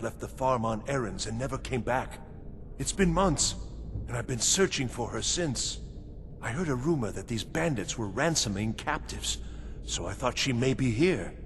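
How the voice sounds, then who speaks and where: A man playing a frightened, desperate farmer in a video game, pleading urgently as he searches for his wife. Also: medium pitch. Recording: long hall reverb, faint background noise.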